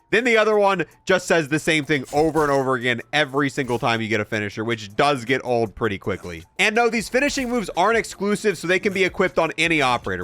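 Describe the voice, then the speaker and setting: A man's voice: fairly high pitch, fairly bright tone. A young man giving casual, matter-of-fact commentary as he explains and shows off video game content. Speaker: gaming video host.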